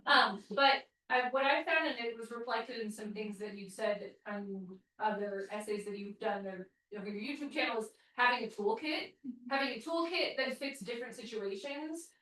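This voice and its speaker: A woman with a fairly high, loud voice makes her point emphatically in a group discussion. She is picked up from a distance in a very echoey room. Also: moderate pace. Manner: emphatic, loud, self-described obnoxious. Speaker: group participant.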